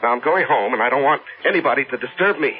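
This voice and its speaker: Actor as obsessed American businessman, animated, tinny radio. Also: medium pitch, faint music behind, close to an everyday voice. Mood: impatient. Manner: emphatic, insistent, halting when flustered. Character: obsessed, stubborn American businessman, devoted to his wife. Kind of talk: 1970s radio drama acting. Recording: tinny, band-limited old radio broadcast.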